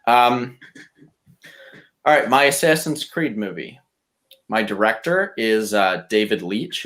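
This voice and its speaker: Male podcast contestant, lower register, loose conversational pitching with casual swearing.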